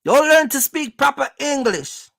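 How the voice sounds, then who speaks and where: This is a host with a noticeably creaky voice, recorded dry with very little room sound. The delivery is casual, motivational advice straight to viewers. Points are stressed and repeated, with regular check-ins that the listener follows. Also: high voice, expressive, unhurried, a bit bright, no room sound. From Jamaica. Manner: emphatic, repetitive, checks in with listeners. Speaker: host, trucking business coach.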